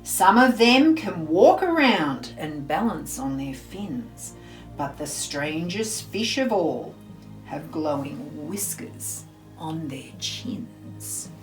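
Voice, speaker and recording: Slow, expressive woman storyteller, echoey room. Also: deep voice. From Australia. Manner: deliberate, theatrical, addressing small children. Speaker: storyteller, school librarian. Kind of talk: expressive children's picture book reading. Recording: roomy echo, faint music behind.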